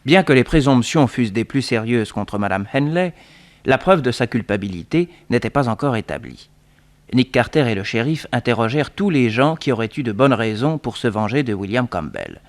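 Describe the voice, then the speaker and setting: An actor playing the formal storyteller of a detective serial, with literary diction in 1960s radio narration style. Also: male voice, mid-range voice, lightly acted.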